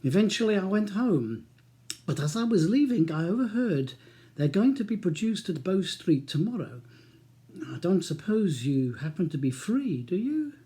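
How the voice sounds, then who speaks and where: A man's voice: sits high, sing-song, moderate pace, dark tone, pitched above the performer's own voice. A man voicing a matey, wheedling East End solicitor in his sixties for an audiobook. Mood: brisk, confiding. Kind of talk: audiobook character dialogue.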